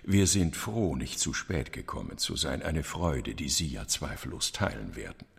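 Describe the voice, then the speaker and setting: A sober, courteous lawyer in a radio play, his low voice creaky and widely inflected. He speaks formal, solemn in a long, reverberant space. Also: sing-song, normal speed, exaggerated voice. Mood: formal, grave. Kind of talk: German radio play acting.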